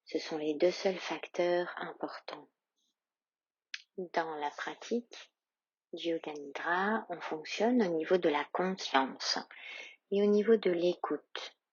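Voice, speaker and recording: A woman yoga instructor leading a guided meditation in a calm, soothing, soft and breathy voice with long, measured pauses. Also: lowish voice, dry recording, muffled recording.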